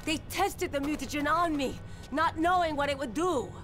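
A woman's voice: sits high, normal speed, over music, natural voice. An actress playing a desperate, fatalistic mutated woman in a zombie game, speaking in a grave, dramatic, emphatic way. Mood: bitter despair. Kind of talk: video game cutscene acting.

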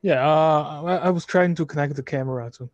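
Chatty student from China, dull call audio. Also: male voice, on the high side, brisk, no room sound. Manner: loose, self-correcting, many fillers. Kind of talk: self-introduction. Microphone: video call.

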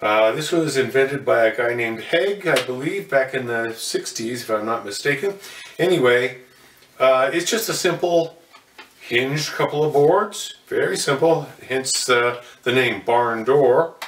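A man's voice: medium pitch, a little slow, a bit bright, a little hiss or hum. An older man giving a hands-on demonstration of telescope mounts, folksy and full of fillers and self-corrections. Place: indoor room in front of a curtain. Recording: roomy, slightly distant sound.

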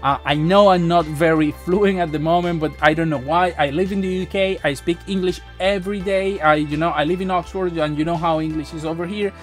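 Animated Spanish-accented man, high voice. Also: faint music behind. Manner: animated, gestures a lot, halting restarts. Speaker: tech YouTuber. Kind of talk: casual talk to camera. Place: small home studio room. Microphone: boom mic near the face.

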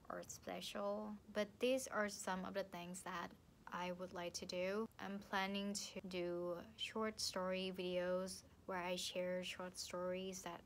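A young woman from Thailand with a Thai accent, chatting playfully to the camera from her sofa about books, with lively hand gestures. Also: fairly low pitch, slight background noise. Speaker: book vlogger. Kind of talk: casual vlog to camera. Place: living room, seated on a sofa. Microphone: camera in front.

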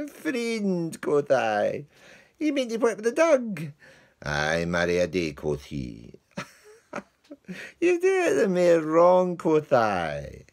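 An actor playing a comic lowly male servant, telling a broad Scottish-dialect tale of his dog with mock indignation. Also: huge pitch swings, extremely slow, vocal fry, long pauses, exaggerated voice. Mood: rowdy. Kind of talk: theatrical monologue.

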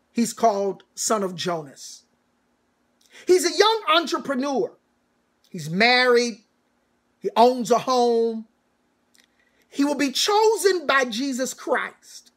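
A middle-aged man giving a sermon-like commencement address as a church elder, emphatic and deliberate, with repeated phrases and dramatic pauses. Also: very high-pitched, a bit bright. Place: small home study, indoors.

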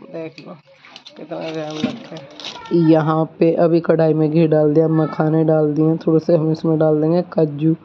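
Flat-toned village woman vlogger. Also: low-pitched, a little slow. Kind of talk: casual home vlog chat and narration. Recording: muffled phone audio with clear background noise.

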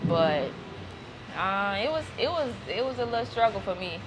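A woman's voice: medium pitch, very animated, moderate pace, background noise. A woman guest chatting casually, giggly and easygoing, with loose slang. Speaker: guest, gym partner. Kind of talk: casual chat.

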